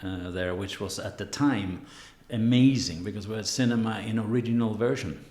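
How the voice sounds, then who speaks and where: Low-voiced older man, echoey room. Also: normal speed, darkish tone. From born in New York, raised in Marbella, Spain from eleven. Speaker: interview guest, real estate agent. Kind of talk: casual conversational interview. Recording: roomy, echoing voice recording.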